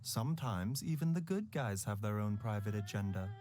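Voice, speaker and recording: An actor playing a calm, enigmatic young male doctor in a video game, speaking in a measured, unhurried way with a sly, teasing edge. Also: lowish voice, slight background noise, lightly acted.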